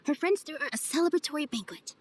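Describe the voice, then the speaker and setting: An actress playing a kind, graceful young festival dancer in a video game, speaking softly and earnestly. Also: female voice, high voice, animated, fast, a little hiss or hum. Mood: wistful, storytelling. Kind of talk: video game character voice acting.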